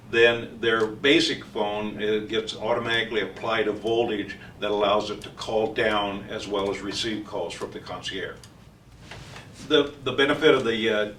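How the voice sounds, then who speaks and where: Halting low-voiced man in an echoey room. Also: fairly bright tone. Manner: halting delivery with frequent long hesitations. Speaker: company trainer presenting product training. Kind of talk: informal instructional technical explanation. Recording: roomy echo, distant mic, faint noise.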